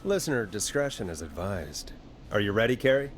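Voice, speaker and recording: An actor playing a steady, reassuring male radio host in a video game, with faint noise behind. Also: on the low side, a little fast. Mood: solemn announcing.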